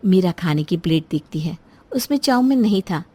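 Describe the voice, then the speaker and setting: A woman's voice: fairly low pitch, lively intonation, normal speed, dark tone, slightly rough, dry recording. A woman storyteller reading a scripted moral story as narration.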